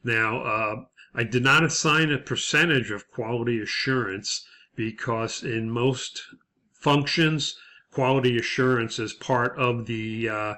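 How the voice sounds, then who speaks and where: A man giving a lecture as an instructor, explaining methodically in a semi-scripted way with hesitant pauses. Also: mid-range voice, bright, forceful tone.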